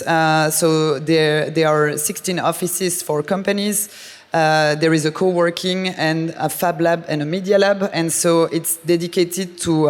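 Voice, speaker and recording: A man with a Belgian French accent, an artistic director giving a conference presentation with frequent hesitant fillers. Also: a little high. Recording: reverberant hall.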